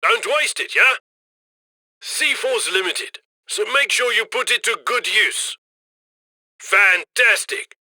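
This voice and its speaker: Video game criminal handler: upbeat, cocky male, high-set and forceful, very dry close sound, slick conversational mission directions. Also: no echo, heavily acted. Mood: brisk, instructing, then urgent. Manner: slick, conversational, egging the crew on.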